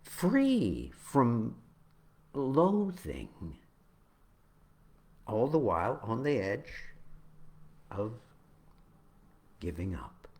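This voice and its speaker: A man reading his own spoken-word poem, with halting, deliberate phrasing that moves between dramatic swells and hushed drops. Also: mid-range voice, huge pitch swings.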